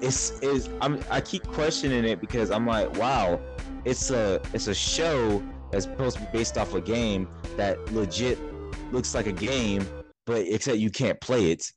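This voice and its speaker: Deep-voiced young man rambling casually. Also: a little slow, over music. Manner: rambling, restarts sentences often. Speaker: podcast host. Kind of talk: casual chat.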